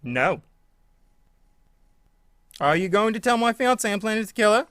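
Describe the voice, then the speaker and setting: Actor playing a pedantic fiancé, high, tense voice, dry booth sound. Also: male voice, animated, normal speed, fairly bright tone, dry recording. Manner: fussy, literal-minded, defensive. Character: pedantic young man, the client's fiancé.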